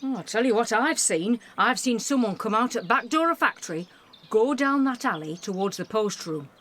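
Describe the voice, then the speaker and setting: A woman plays a chatty wartime busybody. She is blunt and cheeky, her pitch moving freely. Faint noise and birdsong sit behind her in this amateur radio play. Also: expressive, normal speed, fairly bright tone. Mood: nosy, gossipy. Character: nosy, cheeky, blokes-loving wartime delivery woman.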